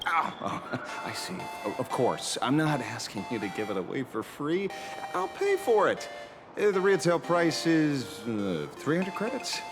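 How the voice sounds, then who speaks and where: A man's voice: on the high side, wildly swooping pitch, exaggerated voice. An actor playing a theatrical, slightly awkward male detective with a vengeful streak in a video game. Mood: flustered, eager. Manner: theatrical, swings from grave and measured to flustered stammering. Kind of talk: dramatic video game character acting. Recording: background music under dialogue.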